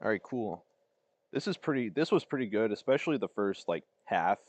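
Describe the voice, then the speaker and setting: A man's voice: fairly low pitch, sing-song, a little fast, no room sound. A man giving casual, off-the-cuff reaction commentary as a livestreamer. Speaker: livestreamer reacting to fan-made videos.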